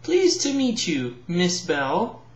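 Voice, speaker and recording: A man's voice: high voice, wildly swooping pitch, slow pace, distant mic, full character voice. A man voicing a young newcomer fairy girl in a storybook, eager, polite and naive. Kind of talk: storybook character voice. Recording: roomy echo, slightly dull home recording.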